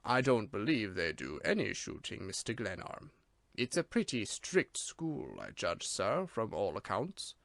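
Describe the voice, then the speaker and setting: A solemn, deferential manservant with a slight Irish lilt, as a man reads him in a storybook. He speaks quietly and gravely, always formal. The recording is dry and close. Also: lowish voice, wildly swooping pitch, a little slow, no echo, pitched below the performer's own voice, heavily acted.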